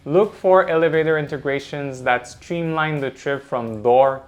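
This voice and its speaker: Higher-pitched man narrating a corporate explainer. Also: a little slow. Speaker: presenter of a product explainer. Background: background music.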